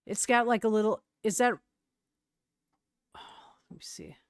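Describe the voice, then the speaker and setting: A woman's voice: a little high, a little slow, clear, resonant tone, no echo, studio quality. A young woman from Wisconsin with a slight Wisconsin accent, chatting casually with her stream's chat and laughing often.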